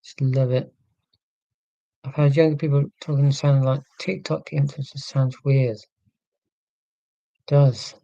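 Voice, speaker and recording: A middle-aged man with a neutral southern English accent, talking slowly and deliberately in a casual, reflective monologue. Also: mid-range voice, mellow, no echo, lo-fi sound. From Scotland, raised in England. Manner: slow, deliberate speech with pauses. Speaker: vlogger.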